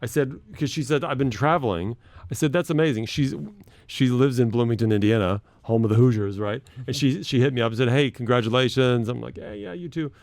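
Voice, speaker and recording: A man's voice: medium pitch, fairly quick, gravelly, a little mellow, no echo. A man hosting a radio show, chatting casually in a studio, laughing easily and telling stories.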